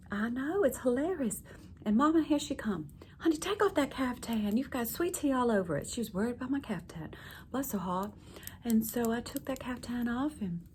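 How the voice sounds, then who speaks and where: A chatty, doting Southern lady, put on by a woman for an ASMR roleplay, drawls her way through rambling folksy tales full of honeys and darlings. Her voice sits a little high and stays soft, slipping often into whispers. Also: slight background noise, lightly acted. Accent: American Southern. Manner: rambling, folksy storytelling with endearments.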